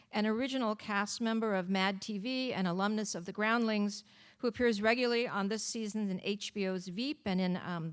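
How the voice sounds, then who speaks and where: Woman host giving a measured introduction. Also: mid-range voice. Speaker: event host introducing an author evening. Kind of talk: prepared introduction speech with practical notes.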